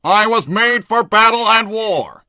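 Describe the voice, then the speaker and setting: A man playing a literal-minded combat robot who speaks in formal, deadpan, stilted sentences in a livestream roleplay. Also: high voice, unhurried, clear, resonant tone, no echo, clean studio sound, heavily acted. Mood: matter-of-fact. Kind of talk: in-character livestream roleplay, reading viewer messages.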